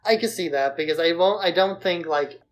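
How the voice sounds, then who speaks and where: A man's voice: on the high side, brisk, slight background noise. A man co-hosting a podcast, giving his opinions on films in casual conversation. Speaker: podcast co-host. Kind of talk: casual film discussion podcast.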